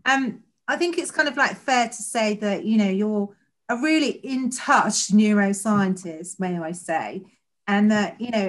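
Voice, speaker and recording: Woman interviewer, chatty tag questions. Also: medium pitch. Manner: friendly, tag questions, many fillers. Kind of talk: conversational interview.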